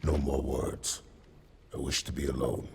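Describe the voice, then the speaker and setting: A man's voice: very deep voice, level tone, unhurried, vocal fry, slight background noise, exaggerated voice. A man playing a brooding undead killer of the sea in a fantasy game, terse and coldly menacing. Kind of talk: cinematic fantasy game acting.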